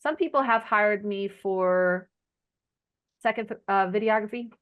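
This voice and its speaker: A woman's voice: medium pitch, unhurried, clear, resonant tone, highs cut off. Southern woman w a bit of jersey in her accent, hosting a casual video chat, folksy storyteller. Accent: blend of Arkansas Southern and New Jersey. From Arkansas, United States. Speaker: host of an online group chat. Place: video call.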